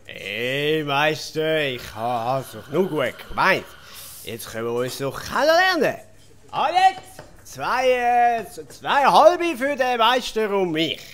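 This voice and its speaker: An actor playing a boastful, self-pitying village drunkard in a Swiss German comic audio play, hesitating and repeating himself. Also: male voice, a little high, very animated, slow, a bit bright, exaggerated voice. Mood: pleading, chummy. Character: boastful male village drunkard, washed-up local legend. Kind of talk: comic audio drama acting.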